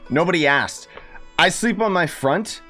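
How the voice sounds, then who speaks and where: Game streamer, animated intonation, sarcastic grumbling, casual reactions, music playing behind. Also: medium pitch, slow pace. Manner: impatient, sarcastic, complaining.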